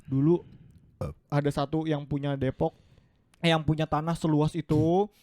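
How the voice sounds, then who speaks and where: A man's voice: fairly high pitch, level tone, moderate pace, darkish tone, no room sound, studio quality. A man co-hosting a casual podcast chat, telling a story at length and acting out the lines of the people in it. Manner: storytelling, acts out quoted dialogue. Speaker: podcast co-host.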